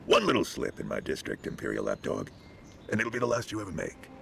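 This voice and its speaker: An actor playing a menacing, taunting district thug boss with a gravelly voice in a video game. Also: male voice, low-pitched, wildly swooping pitch, fast, a bit bright, a little hiss or hum, full character voice. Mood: menacing, mocking. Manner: taunting, threatening.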